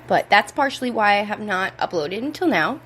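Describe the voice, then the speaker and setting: A young woman hosting a casual podcast, rambling and laid-back, with a voice hoarse from a cold, talking at home. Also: mid-range voice, lively intonation, fairly quick, fairly bright tone, slight background noise. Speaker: podcast host. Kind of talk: casual chat. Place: indoors at home.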